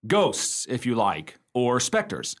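Man playing lively, wry American raconteur. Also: mid-range voice, slow, heavily acted. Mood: jovial, wry. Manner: playful, theatrical. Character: wry, jovial American male raconteur telling friends a ghost story. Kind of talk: radio play storytelling.

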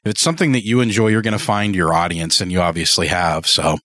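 Man, podcast host, lowish pitch, dry close sound, reflective, conversational, rephrases as he talks. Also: fast talker, bright, forceful tone, no room sound. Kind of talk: conversational interview and host talk.

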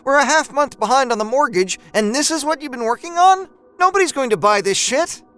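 An exasperated wife as a male narrator plays her in a dramatic audio story. The voice sits well above his own, with a clean tone. She is scornful and scolding, sharp with disbelief. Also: very high voice, expressive, a little fast, pitched above the performer's own voice. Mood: angry scorn.

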